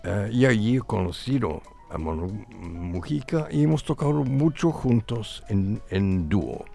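A middle-aged man from England speaks slowly in a low voice as a musician being interviewed. His delivery is halting, searching for words, with a gravelly edge. Music plays faintly behind him. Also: animated, dark tone. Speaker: guest musician. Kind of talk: casual radio interview.

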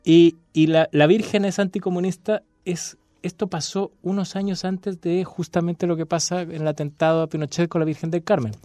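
A man's voice: high-pitched, steady tone, normal speed, few pauses, warm tone, no echo, studio quality. A Chilean man on a university radio show, reading passionately with a repeating cadence, then chatting about a novel. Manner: impassioned, repetitive cadence when reading. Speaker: radio guest discussing a novel. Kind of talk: dramatic reading then casual talk.

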